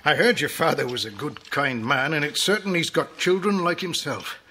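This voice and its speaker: Old country farmhand, kind and fretful, bright highish voice, noisy tape. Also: male voice, normal speed. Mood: grateful, flustered. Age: older. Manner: rustic, hesitant turns of phrase. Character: kindly, anxious old farm worker devoted to his horse. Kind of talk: children's audio drama acting. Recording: clearly noisy cassette transfer.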